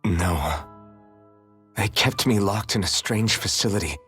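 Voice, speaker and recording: An actor playing a troubled, earnest young warrior in an anime-style video game, speaking haltingly and subdued. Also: male voice, lowish voice, bright, forceful tone, faint music behind. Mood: haunted, bitter. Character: troubled, earnest young male warrior haunted by his past.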